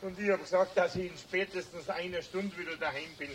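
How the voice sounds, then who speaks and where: A man's voice: high voice, fairly even intonation, a little fast, noisy background, close to an everyday voice. A man playing an impatient, bossy Bavarian fellow in a radio play, grumbling in exasperation with a Bavarian accent. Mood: exasperated. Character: irritated, impatient, bossy Bavarian day-tripper. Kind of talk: German radio play acting.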